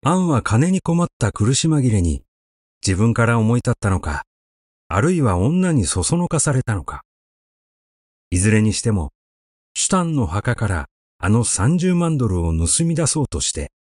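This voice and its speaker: A man reading literary fiction aloud as scripted narration, in a measured, dramatic storytelling manner. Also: medium pitch, a little mellow, dry recording, studio quality. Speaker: audiobook reader. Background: music.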